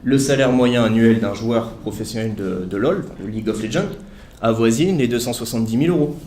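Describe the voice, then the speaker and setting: A man's voice: mid-range voice, fairly quick, darkish tone, a little hiss or hum. A young man giving an academic talk at a study day, earnest, with many fillers and self-corrections. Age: young adult. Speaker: student speaker at a study day. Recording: echoey room.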